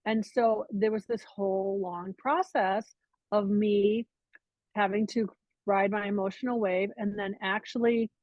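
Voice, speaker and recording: A woman's voice: medium pitch, fairly even intonation, a little slow, no echo. Chatty woman on a dull dry line. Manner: chatty, rambling, many restarts. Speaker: podcaster in group call. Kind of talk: casual storytelling.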